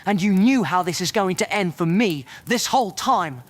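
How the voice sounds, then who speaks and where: An actor playing a bitter, betrayed wizard in an audio drama, emotional and reproachful. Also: male voice, high-pitched, expressive, moderate pace. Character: bitter, betrayed wizard turned into another man. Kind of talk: fan audio drama acting.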